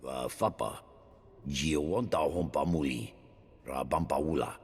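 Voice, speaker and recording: A menacing alien from a space game, speaking an invented tongue. The voice is extremely deep and very rough, ponderous in delivery, with long reverb around it. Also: male voice, a little slow, exaggerated voice. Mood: ominous. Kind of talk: video game dialogue in an invented alien language. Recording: long reverb, music underneath.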